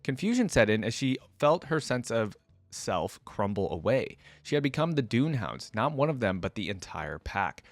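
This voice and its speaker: A man's voice: mid-range voice, very animated, moderate pace, dry recording, faint music behind. A man hosting reaction videos, moving between casual commentary and reading passages aloud. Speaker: YouTube reaction host.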